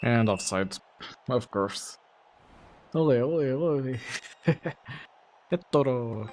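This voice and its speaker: A man streaming a video game, chatting casually to viewers in a relaxed, rambling way full of fillers. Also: medium pitch, slow pace, slight background noise. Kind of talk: casual gameplay commentary.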